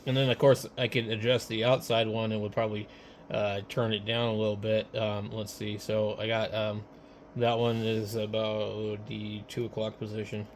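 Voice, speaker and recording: A man's voice: on the low side, moderate pace. A man talking casually and a bit hesitantly as an amateur radio operator on a ham radio setup. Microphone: radio microphones, switched between hand mics. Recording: noisy amateur radio setup, steady background noise.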